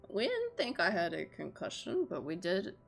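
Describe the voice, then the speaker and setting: A woman's voice: mid-range voice, huge pitch swings, normal speed, creaky. A woman streamer reading visual novel narration aloud and adding chatty, self-deprecating reactions, with game music behind her.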